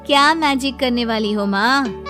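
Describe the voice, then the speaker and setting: A woman's voice: a little high, a little slow, pushed and bright, soft background music. A woman voicing a teasing, outspoken young adult daughter in a cartoon. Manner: teasing, mocking.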